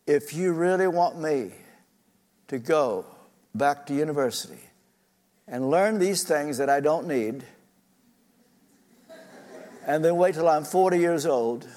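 Older male pastor, slightly high voice, earnest storytelling sermon, long church reverb. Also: a little mellow. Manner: earnest, personal, shifting pace with frequent uh fillers.

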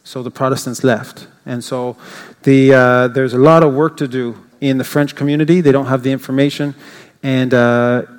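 A man's voice: mid-range voice, fairly even intonation, moderate pace, smooth. An older English Canadian man giving an informal, rambling church talk, with frequent fillers and restarts. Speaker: guest speaker. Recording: reverberant church hall.

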